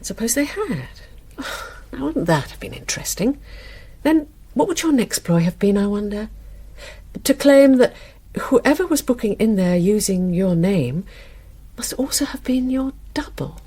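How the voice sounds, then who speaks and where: An actress playing a suspicious, wounded middle-aged wife with a sarcastic, pointed manner in a naturalistic radio drama. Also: female voice, medium pitch, lively intonation, measured pace, warm tone, breathy edge.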